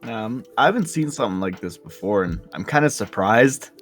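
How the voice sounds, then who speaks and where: A man on a podcast panel discussing sports casually, in a measured and tentative way. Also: lowish voice, animated, soft background music.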